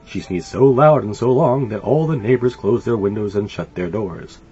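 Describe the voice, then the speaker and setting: A man reading a children's story aloud in an animated, expressive way. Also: on the low side, normal speed. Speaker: storybook reader. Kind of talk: scripted children's story narration. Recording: dull lo-fi sound. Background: light music.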